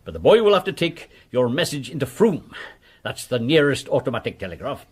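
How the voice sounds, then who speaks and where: Casting: inquisitive postmaster, ex-corporal, husky, very animated, deferential to gentlemen, period radio play. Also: male voice, mid-range voice, a little fast. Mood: obliging, puzzled. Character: chatty, deferential village postmaster, a one-legged former army corporal. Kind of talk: period radio drama acting.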